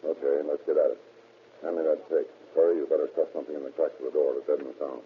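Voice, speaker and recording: Very deep, gruff radio burglar. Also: male voice, steady tone, racing. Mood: blunt. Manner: blunt, slangy, complaining. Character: gruff, grumbling low-life burglar. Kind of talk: 1930s radio crime drama acting. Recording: old tinny band-limited radio, hissy.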